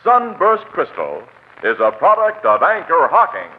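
A man's voice: fairly high pitch, wildly swooping pitch, a little slow. A man announcing scripted 1940s radio ads and credits in a polished, emphatic manner. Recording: tinny old radio broadcast, music behind.

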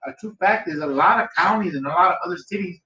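A man's voice: high-pitched, fast, sharp tone. A man in his forties, a podcast co-host chatting casually in a rambling, laid-back way, swearing now and then. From Los Angeles area. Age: 40s. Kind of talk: casual chat. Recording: echoey room, dull lo-fi sound.

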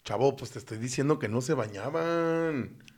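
A man's voice: mid-range voice, normal speed. A young Mexican man chatting casually, cracking vulgar jokes and going off on side stories. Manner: profane, joking, animated asides. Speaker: podcast co-host. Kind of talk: casual comedic chat.

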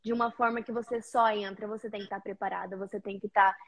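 A woman's voice: mid-range voice, steady tone, quick pace. A woman from Paraná, Brazil, a guest on a video-call interview, friendly and slightly nervous, with many fillers. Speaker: interview guest, house cleaner. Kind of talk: casual interview.

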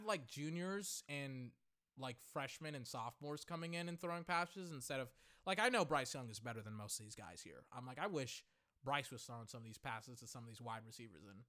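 A young man hosting a podcast, reacting live while he watches, excitable and swearing freely, his voice going hoarse. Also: mid-range voice. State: voice getting hoarse. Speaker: podcast host.

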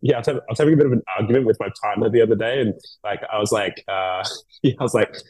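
A man's voice: lowish voice, rapid-fire, darkish tone. A man in his thirties with an Australian accent, chatting easily as a guest and laughing often. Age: 30s. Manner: easygoing, laughs often, restarts his sentences. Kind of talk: casual chat.

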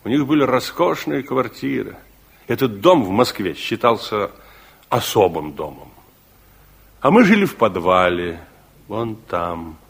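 Husky old grandpa war vet, earnest radio play delivery, dramatic pauses, swoopy intonation, music behind. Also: male voice, lowish voice, sing-song, heavily acted. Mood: bitter, reminiscing. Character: elderly reflective war-veteran grandfather looking back on his life. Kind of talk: theatrical 1960s radio-play monologue and dialogue.

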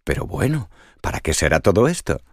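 A man's voice: low-pitched, very animated, brisk, no echo, studio quality. A man voicing a young, fearful little fir tree in a storybook, fretting and lamenting dramatically. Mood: puzzled.

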